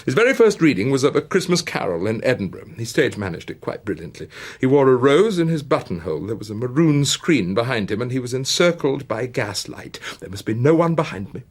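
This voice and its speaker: A British man narrates a life story as theatre. His delivery swoops between low and high with dramatic pauses and heavy emphasis, and the voice has a husky, creaky grain. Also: very animated. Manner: dramatic, dwelling on words with long emphatic pauses and swells. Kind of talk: theatrical scripted biographical narration.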